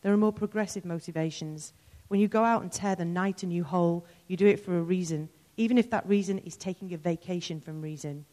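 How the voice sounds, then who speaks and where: A woman's voice: fairly low pitch, a little fast, dark tone, in a large hall, natural voice. A woman reading the lines of a sardonic young woman friend who delivers blunt, wry rants. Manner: wry, sardonic, mock-ranting.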